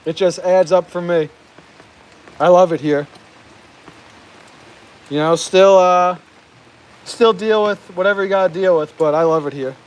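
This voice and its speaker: A man's voice: high voice, low-quality recording. An American man chatting casually on a live stream outdoors, reading out viewer comments, laughing often and tripping over his words. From United States. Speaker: vlogger reading live chat. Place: outdoors in the rain. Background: rain falling.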